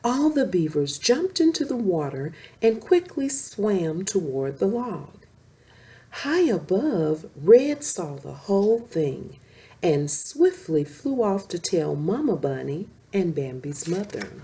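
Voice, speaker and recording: Animated woman reading a children's story. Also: mid-range voice. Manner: animated, slow-paced reading addressed to young children. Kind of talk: expressive bedtime story reading.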